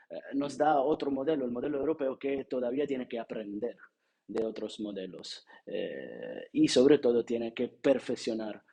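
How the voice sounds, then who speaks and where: A man's voice: mid-range voice, gravelly, warm tone, slightly rough. A man with an Italian accent whose first language is Italian, giving an academic lecture at a seminar in a hesitant way, with frequent filler sounds and restarts. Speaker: seminar speaker.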